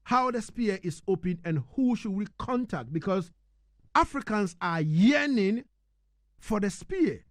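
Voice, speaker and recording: Male radio host, high voice, very dry sound, effusive slangy interviewing. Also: big pitch swings, unhurried, dry recording. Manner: effusive, slangy, repeats phrases. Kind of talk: casual, enthusiastic radio interview.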